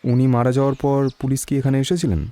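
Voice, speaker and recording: An actor playing a calm young detective in a Bengali audio story, asking questions in a measured, inquisitive way. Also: male voice, medium pitch, lightly acted. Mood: calm, probing.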